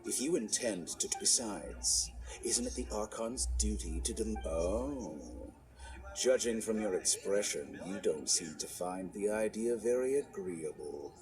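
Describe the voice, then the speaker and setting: A man voicing an arrogant, calculating villain scholar in a video game, speaking in a measured, condescending, theatrical way. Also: on the low side, animated, darkish tone. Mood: sly bargaining. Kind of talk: dramatic video game voice acting. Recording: music under the voice.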